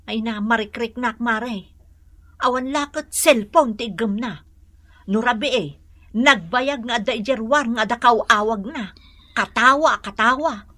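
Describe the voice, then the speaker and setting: An actress playing a suspicious, bossy, nagging wife who scolds and demands in a radio comedy. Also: female voice, on the high side, unhurried, sharp tone, no echo. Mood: complaining. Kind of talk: comedy radio drama acting.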